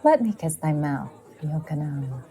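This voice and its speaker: Slow, obsessed princess. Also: female voice, sits low, lively intonation, mellow. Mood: insistent desire. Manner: insistent, repetitive, intense. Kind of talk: theatrical audio drama acting.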